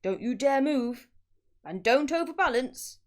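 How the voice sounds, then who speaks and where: A woman's voice: high voice, expressive, unhurried, pitched above the performer's own voice. A woman voicing a nasty, bullying man from a children's storybook, barking like a fearsome trainer. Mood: barking orders. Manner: barking, shouted commands and threats. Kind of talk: children's storybook read-aloud.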